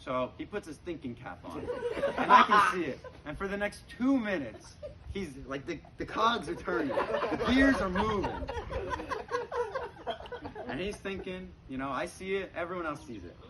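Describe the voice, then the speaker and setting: Male comedian, fairly high voice, conversational storytelling, live audience laughter, clear background noise. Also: sing-song, normal speed, over a noisy crowd. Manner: conversational, frequent "you know", acts out dialogue. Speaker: stand-up comedian. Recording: noisy live recording with room ambience.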